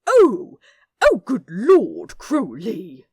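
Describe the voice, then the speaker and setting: A woman's voice: on the high side, huge pitch swings, drawn-out words, darkish tone, husky, no room sound, clean studio sound, voice pushed up, heavily acted. A woman voicing a prissy, easily scandalised male angel with a fussy, old-fashioned indignant manner in an audiobook-style story reading. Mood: flustered outrage.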